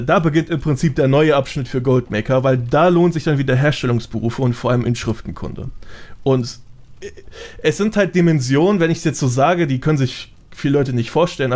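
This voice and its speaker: A man with a medium-pitched voice talks as a guest on a casual gaming podcast. He explains things in slangy everyday speech, dropping in English gamer words. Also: fairly quick, a little mellow.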